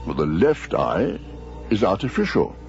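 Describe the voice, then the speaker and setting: An actor playing an earnest, proper doctor, a detective's sidekick in a radio drama. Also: male voice, sits low, huge pitch swings, a little slow, darkish tone, heavily acted. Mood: studious. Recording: dull radio recording, faint music.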